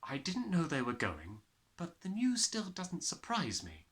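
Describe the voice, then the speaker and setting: A man voicing a gentle, kindly woman teacher in a storybook, soft-spoken, calm and measured. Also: fairly high pitch, pitched above the performer's own voice, heavily acted.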